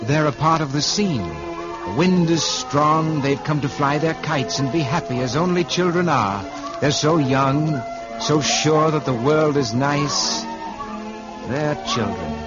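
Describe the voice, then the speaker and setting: Solemn, all-knowing spirit of Tomorrow, muffled. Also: male voice, fairly high pitch, expressive. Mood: gentle, evocative. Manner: measured, solemn, poetic narration of visions. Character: personified Tomorrow, all-knowing, calm, unyielding male guide. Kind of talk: old-time radio drama acting. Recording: muffled vintage radio recording with music behind.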